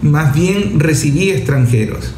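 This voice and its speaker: Brief: male Venezuelan radio host, slightly high-pitched, didactic commentary, audible noise behind. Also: expressive, unhurried, warm tone. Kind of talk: explanatory radio commentary addressed to listeners. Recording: roomy echo, background noise.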